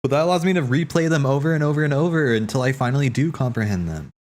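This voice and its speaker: A man's voice: mid-range voice, animated, fast talker, a little mellow. A man streaming a reaction to game cutscenes, chatting casually and thinking aloud with lots of fillers and restarts. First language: English. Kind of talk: casual livestream chat.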